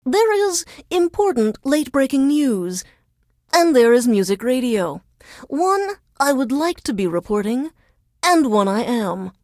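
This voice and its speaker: A woman's voice: high voice, very animated, measured pace, a little mellow, no room sound, clean studio sound. An actress playing a resentful female news reporter forced to work as a radio DJ in a video game, with a formal, dramatic, sardonic newscaster delivery. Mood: bitter, sardonic. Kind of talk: scripted radio DJ links in newsreader delivery.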